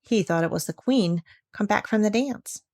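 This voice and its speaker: A woman narrating a children's story aloud in an expressive, scripted storytelling style. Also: mid-range voice, normal speed, no room sound, clean studio sound.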